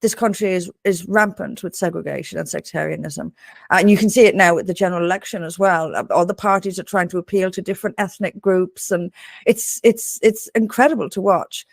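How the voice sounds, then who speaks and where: Irish woman, discussion guest, serious political commentary, some vocal fry, dry sound. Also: fairly low pitch, a little fast, no echo. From Dublin, Ireland. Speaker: interview guest, political activist.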